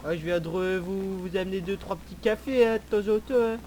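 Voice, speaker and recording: A man's voice: sits high, brisk, a little mellow, slight background noise, close to an everyday voice. A man improvising a cheeky, whining troublemaker under arrest in online game roleplay, speaking with mock politeness.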